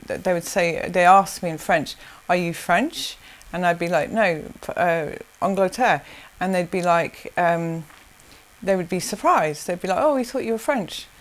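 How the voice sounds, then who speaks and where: Woman, lowish voice, rambling answers, restarts, soft laughs. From South Africa. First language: Zulu. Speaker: interviewee. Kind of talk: casual interview answers.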